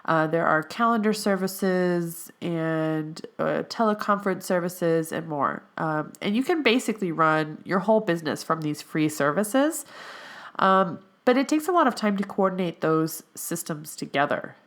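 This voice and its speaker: A woman's voice: lowish voice, expressive, normal speed. A young adult woman hosting her own podcast, whose voice sounds a bit nasal from allergies, talking casually with many fillers. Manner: chatty, with frequent fillers. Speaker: podcast host, health coach in training. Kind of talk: casual solo podcast monologue.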